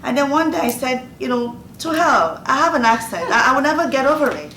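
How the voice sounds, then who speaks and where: A woman's voice: high voice, animated, fairly quick, fairly bright tone. Nigerian woman in her fifties, an earnest host who repeats key phrases, recorded in a roomy space with the mic set well back. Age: 50s. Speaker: host giving advice. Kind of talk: motivational Q&A talk. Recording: roomy distant mic, faint noise.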